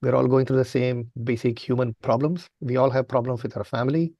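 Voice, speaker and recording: Mellow man speaking on a panel. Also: mid-range voice, fairly even intonation, fairly quick, no echo, clean studio sound. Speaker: comedian and comedy show producer on a panel. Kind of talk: casual panel discussion.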